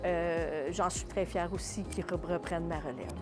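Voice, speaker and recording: A woman with a Quebec French accent giving casual interview answers as a shop owner, haltingly searching for her words. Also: on the low side, over music. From Contrecœur, Quebec, Canada. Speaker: small business owner being interviewed.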